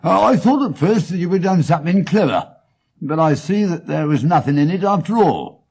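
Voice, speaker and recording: A man's voice: fairly high pitch, expressive, moderate pace, gravelly, a little mellow, husky, pitched above the performer's own voice, heavily acted. A man playing a homely, wordy English pawnbroker in an audiobook, with an earnest, stammering manner. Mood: blustering. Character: plain, stout, red-headed male pawnbroker, earnest and wordy.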